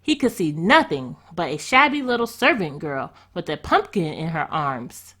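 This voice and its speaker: A woman storyteller narrating a children's storybook in an expressive read-aloud style. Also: sits low, moderate pace.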